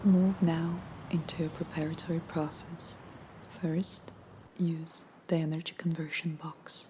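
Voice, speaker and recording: Slow, low-voiced woman guiding meditation. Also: level tone, slight background noise. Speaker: guided meditation narrator. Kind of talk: scripted guided meditation, hypnosis narration.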